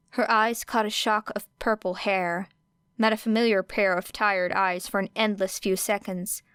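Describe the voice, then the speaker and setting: A woman reading a fiction audiobook as narrator, with expressive scripted delivery. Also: medium pitch, clean tone, no echo, clean studio sound.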